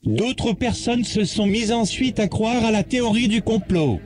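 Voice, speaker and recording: High male voice, acted by a man for a knowing, coolly treacherous game machine, matter-of-fact, faint music behind. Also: a little slow, darkish tone. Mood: explaining. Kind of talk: visual novel character dialogue.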